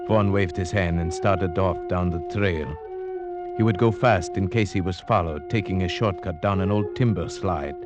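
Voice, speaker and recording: A man acting an earnest, haunted narrator-hero in a vintage radio suspense drama, with measured, grave, theatrical delivery. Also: low voice. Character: earnest male narrator-hero haunted by dread, foreign gentleman.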